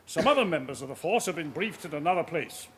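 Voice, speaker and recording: Commanding high-pitched police chief, a man acting. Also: lively intonation, a little hiss or hum, natural voice. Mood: grave, authoritative. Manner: authoritative, clipped, deliberate. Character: commanding male senior police officer briefing his men. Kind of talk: radio drama acting, formal briefing.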